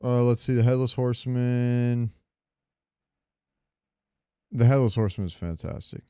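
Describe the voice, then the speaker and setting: A man with a smooth, clear voice chats casually as a podcast co-host. He talks informally about games, swears freely and breaks easily into laughs. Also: medium pitch, fairly even intonation, measured pace, warm tone.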